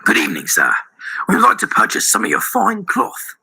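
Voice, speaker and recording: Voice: low-pitched, big pitch swings, moderate pace, creaky, bright, forceful tone, breathy edge, voice pushed down, heavily acted. A storyteller voicing a bluffing, reckless and coolly ruthless pirate man in an adventure story. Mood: nervous bluffing.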